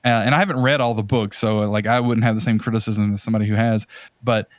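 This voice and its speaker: A man's voice: fairly low pitch, fairly even intonation, quick pace, no room sound. Man chatting in a close, dry recording. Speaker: podcast host. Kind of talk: casual podcast conversation.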